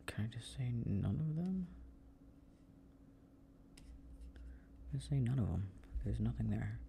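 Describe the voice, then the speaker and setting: A man playing a young boyfriend working at his computer, talking quietly in an audio roleplay. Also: normal speed, vocal fry, a little hiss or hum. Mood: muttering focused. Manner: low muttering while focused on a task; relaxed, friendly chat with a partner.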